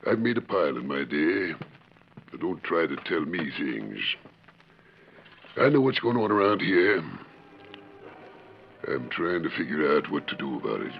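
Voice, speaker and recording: A man's voice: a bass voice, very animated, unhurried, vocal fry, pauses often, exaggerated voice. An actor playing a stern, fair-minded older ranch owner and father in a 1940s radio western, speaking firmly and plainly. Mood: weary, firm. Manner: firm, commanding, plain-spoken. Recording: muffled, noisy old 1940s radio recording.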